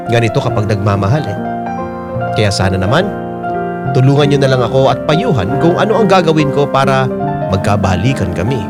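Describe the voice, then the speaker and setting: Guy reading a heartfelt letter on radio, mid pitch, very expressive swings, loud music under him. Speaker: radio show letter reader. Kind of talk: dramatic first-person letter narration. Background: loud music, piano.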